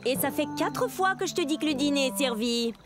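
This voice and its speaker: A woman's voice: sits high, expressive, a little fast. A woman voicing a firm, exasperated mother dinosaur in a children's cartoon. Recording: loud music behind the voice.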